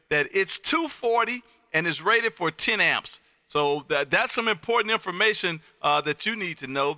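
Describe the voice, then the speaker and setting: A man chatting casually as an amateur radio operator and livestream host, with a loud, folksy manner. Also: on the high side, dry recording, studio quality. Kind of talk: casual ham radio chat. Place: home radio room.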